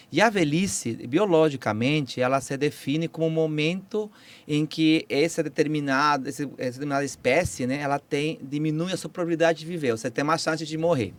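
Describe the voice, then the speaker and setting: A man in his forties from Peru with a Peruvian Spanish accent, explaining biology as a podcast guest, hesitant with many fillers and restarts. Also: fairly high pitch.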